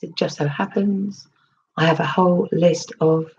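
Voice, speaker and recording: A woman with a husky, low voice, still hoarse after losing her voice, rambles through a casual livestream. She reads her chat aloud and answers in a chatty, meandering way, full of ums and restarts. The sound is slightly dull and lo-fi. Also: fairly even intonation, measured pace, darkish tone, a little hiss or hum. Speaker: crypto livestream host reading chat.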